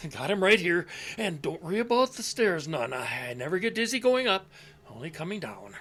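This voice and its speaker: A man's voice: sits high, sing-song, normal speed, a bit bright, full character voice. An actor playing a forgetful, stubborn elderly father in an audio drama, with a folksy, stammering, muttering manner. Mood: affectionate.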